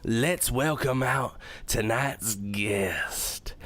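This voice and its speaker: An actor playing a sardonic, lovelorn late-night radio host in an audio drama. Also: male voice, medium pitch, extremely slow, fairly bright tone, a little hiss or hum. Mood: upbeat.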